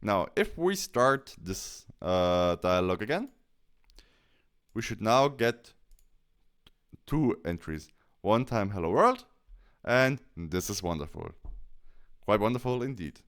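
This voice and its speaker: Clear-voiced man with lively intonation. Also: fairly low pitch, slow, pauses often. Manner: explains step by step. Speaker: tutorial presenter. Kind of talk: casual software tutorial narration.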